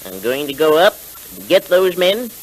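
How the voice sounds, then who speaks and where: An actor playing a coldly self-righteous masked rider in a 1930s radio western serial, with formal, taunting speech on a hissy old recording. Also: male voice, on the high side, big pitch swings, a little slow, low-quality recording. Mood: smug, commanding.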